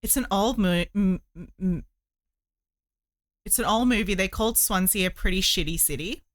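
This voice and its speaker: A woman's voice: mid-range voice, moderate pace, no echo, studio quality. A woman hosting a casual livestream, chatting in a rambling way and thinking aloud as she goes. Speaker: livestream host. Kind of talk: casual livestream chat while crocheting.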